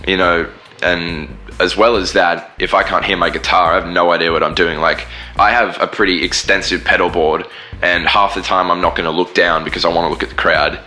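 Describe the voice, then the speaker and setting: A man's voice: low voice, fairly even intonation, fairly quick, pushed and bright, soft background music. A man, a band singer and guitarist, rambling casually in an interview. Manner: rambling, many fillers and restarts.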